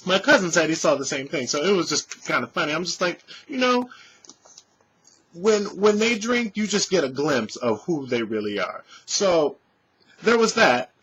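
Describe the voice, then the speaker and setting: A man in his thirties, a vlogger, telling a casual story to his webcam in a small room at home, animated and swearing freely. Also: fairly high pitch, moderate pace, husky, muffled recording. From California. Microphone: webcam at desk distance.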